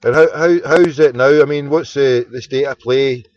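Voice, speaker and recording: A man's voice: mid-range voice, normal speed, a little mellow, dull audio. A Scottish man hosting a casual phone-in, chatty and sweary, often repeating his words. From Scotland. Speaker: phone-in host.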